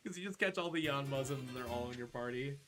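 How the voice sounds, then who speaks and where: A man's voice: mid-range voice, fast talker. A man giving casual, explanatory live commentary while he plays through a video game speedrun. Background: video game music.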